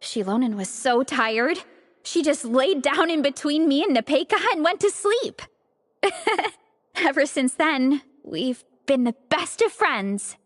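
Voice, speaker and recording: A woman voicing a cheerful, encouraging young comic fan in a video game, animated and upbeat. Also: high voice, slow, no room sound, clean studio sound, in a large hall. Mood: fond.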